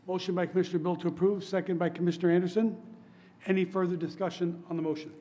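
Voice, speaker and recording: A man chairing a public commission meeting, hoarse from laryngitis, running votes in a steady, polite, procedural way. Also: high voice, fast. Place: council chamber.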